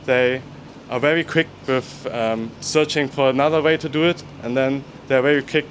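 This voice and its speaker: A man from Germany, answering interview questions in a calm, measured, matter-of-fact way. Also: mid-range voice, a little hiss or hum. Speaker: interview guest.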